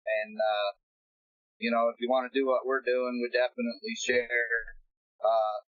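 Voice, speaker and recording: A middle-aged retired military man, a guest, talking in a relaxed, rambling way with many fillers. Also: mid-range voice, normal speed, dry recording. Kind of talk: casual interview chat. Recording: dull lo-fi sound.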